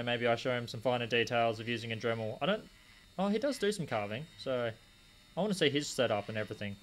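A man's voice: mid-range voice, a bit bright, slight background noise. Rambling young man, live stream. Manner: rambling, answers chat comments aloud. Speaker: stream host polishing gemstones. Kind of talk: casual live-stream chat while working. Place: small home desk corner with a rotary tool running.

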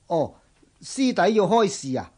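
An actor playing a jovial, chatty senior monk in a radio drama, talking in a colloquial, exclamatory way. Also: male voice, sits high, big pitch swings, a little slow, darkish tone, exaggerated voice. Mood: excited. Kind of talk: Cantonese radio drama acting.

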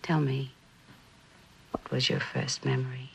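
A woman's voice: a bass voice, little pitch movement, unhurried, creaky, a little hiss or hum, full character voice, low-quality recording. An actress playing a genteel, middle-aged mother who clings tenderly and hesitantly to her daughter, in a vintage suspense drama. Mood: wistful. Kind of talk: 1960s television suspense drama acting.

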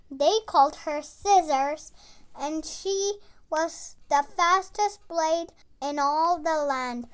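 Storybook reader, very high-pitched and bright, slow measured pace, dramatic and expressive. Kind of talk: animated storybook read-aloud.

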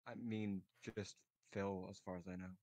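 A man improvising in-character dialogue as a roleplay player, in a halting, hesitant way. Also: low voice, level tone, mellow, no echo.

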